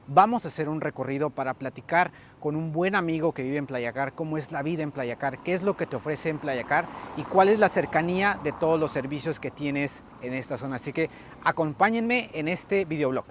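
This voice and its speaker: A man's voice: a little high, fast talker, a little hiss or hum. A Spanish-speaking man hosting a casual walking interview outdoors, talking in a chatty way with many restarts. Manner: chatty, restarts and switches into English. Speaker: realtor and vlog host. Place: outdoors on a residential street.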